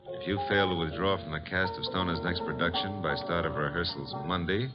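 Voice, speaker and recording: Radio drama lead, male actor: wisecracking flirt of an American detective, deep-pitched, easygoing patter, dull vintage recording. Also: fairly even intonation, brisk, over loud music, close to an everyday voice. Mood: breezy, flirtatious. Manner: breezy, glib. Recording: muffled old radio recording.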